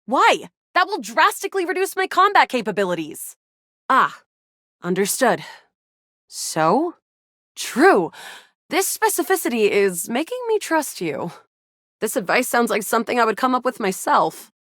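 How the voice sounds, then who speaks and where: High, animated sci-fi game heroine. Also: female voice, unhurried, no room sound, studio quality, heavily acted. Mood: brisk, playful. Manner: animated, dramatic, playful banter. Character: feisty, excitable young woman sci-fi resistance fighter and adventurer. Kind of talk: video game character voice acting.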